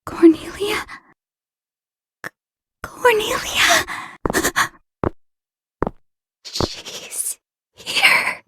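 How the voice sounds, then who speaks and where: A woman voicing a frightened, tormented young girl in a horror game, halting, breathy and tearful, with music behind her. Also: extremely high pitch, sing-song, noisy background, exaggerated voice. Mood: fearful.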